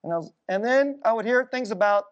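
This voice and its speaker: A middle-aged Korean American woman telling stories in a sermon, casual and conversational, dropping to a hushed low voice at tense moments. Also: animated, fast, lo-fi sound. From United States.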